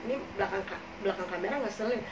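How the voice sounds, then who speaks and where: A young woman chatting casually, hoarse and coughing, rambling with many fillers as she tells her own experiences. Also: medium pitch, rapid-fire. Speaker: podcast co-host telling her own experiences. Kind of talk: casual chat in Jakarta slang. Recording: echoey room, far from the mic, noisy.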